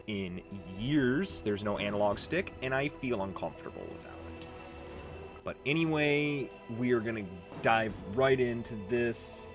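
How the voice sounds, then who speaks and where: A man with a slightly low voice talks through a video game as its host. He is chatty and informal, full of conversational asides. Music is clearly audible behind him. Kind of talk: casual let's play commentary.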